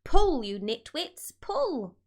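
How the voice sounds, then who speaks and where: Woman putting on a frantic, high-pitched bossy monkey dad. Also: big pitch swings, slow, exaggerated voice. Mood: yelling orders. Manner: shouting urgent orders, snappish. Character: bossy, frantic, excitable father monkey ringleader. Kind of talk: children's storybook read-aloud.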